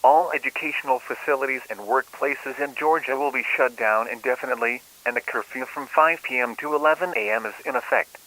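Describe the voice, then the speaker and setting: A man's voice: mid-range voice, brisk, hardly any pauses, low-quality recording. A man playing a grim government emergency announcer, reading scripted warnings in a stern, official way over a radio. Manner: stern, flat, official delivery.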